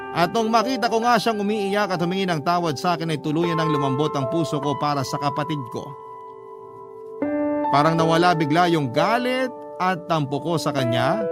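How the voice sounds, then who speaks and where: Guy radio host reading a sentimental story, earnest, higher voice, music loud underneath. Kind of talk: scripted dramatic letter reading. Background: loud instrumental music.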